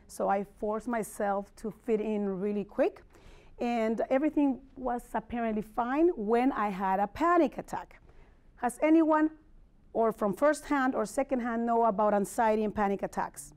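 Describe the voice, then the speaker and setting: A woman's voice: fairly high pitch, a little mellow. A middle-aged woman from Mexico City with a Mexican accent, a native Spanish speaker, gives a personal wellness presentation as a motivational speaker, talking candidly and conversationally and checking in with her listeners. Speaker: motivational speaker and life coach.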